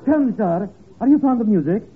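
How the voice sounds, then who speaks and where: The Mad Russian, very high-pitched and zany. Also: male voice, lively intonation, brisk, phone-quality sound. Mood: zany, proud. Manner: grandiose, mock-formal, oddly mangled phrases. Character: the Mad Russian, zany boastful male out-of-work musician. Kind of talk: 1940s radio comedy acting. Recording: thin, noisy old radio broadcast, audience behind.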